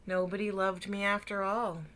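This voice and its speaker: A woman voicing a gentle, lonely man in a children's picture book, speaking politely and earnestly. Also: mid-range voice, level tone, normal speed, natural voice. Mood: dejected. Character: gentle, lonely, mild-mannered man in a storybook.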